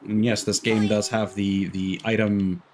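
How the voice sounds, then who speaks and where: A man's voice: lowish voice, little pitch movement, unhurried, noisy background. A man doing casual live game commentary, rambling candidly and swearing offhandedly. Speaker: game streamer. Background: game music.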